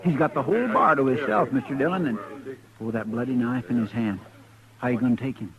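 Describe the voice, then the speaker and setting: An actor playing a loyal, folksy marshal's helper with a deferential rural drawl in an old radio western. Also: male voice, mid-range voice, brisk, lo-fi sound, crowd noise, close to an everyday voice. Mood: anxious, deferential. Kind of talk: 1950s radio drama acting. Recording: thin, band-limited old radio with music.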